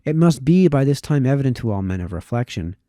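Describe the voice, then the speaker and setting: Male reader, mid-pitched and soft-edged, measured formal delivery of old prose, small stumbles, very dry close recording. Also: big pitch swings, no room sound. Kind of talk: reading aloud a historical political text.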